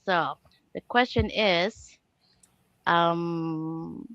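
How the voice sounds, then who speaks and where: A woman's voice: lowish voice, very slow, fairly bright tone, no echo, dull audio. An older woman, a grandmother, hosting a live online panel chat and welcoming her guests, with a few restarts as she reads out the questions. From Canada.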